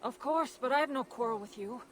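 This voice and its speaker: A woman's voice: high voice, a little fast, slight background noise, natural voice. An actress playing an earnest, determined female giant in love, in a fantasy video game cutscene. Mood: earnest, yearning.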